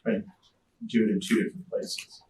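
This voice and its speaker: A soft-spoken man facilitating a committee meeting, giving measured, gentle instructions to the group. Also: a little hiss or hum. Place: school district meeting room. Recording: echoey room, voice from a distance.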